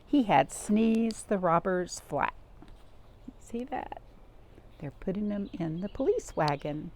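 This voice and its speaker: A woman's voice: medium pitch, animated, slow pace, darkish tone, a little hiss or hum. A woman hosting a children's storytime, speaking in simple, repeated phrases and addressing young listeners directly. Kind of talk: read-aloud storytelling and craft talk for young children.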